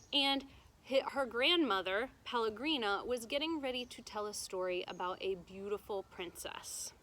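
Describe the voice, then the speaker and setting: A woman's voice: a little high, pushed and bright, rough recording. A woman teacher reading a story aloud to students, explaining it simply and checking in with them as she goes.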